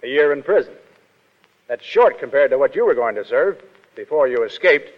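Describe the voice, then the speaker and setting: Man playing brash legionnaire, swooping, animated delivery. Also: mid-range voice, moderate pace, close to an everyday voice. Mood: defiant. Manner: blunt, slangy, insolent. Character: brash, defiant American-style Foreign Legion soldier, cynical. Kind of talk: 1930s radio drama acting. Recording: muffled 1930s radio broadcast, hall reverb.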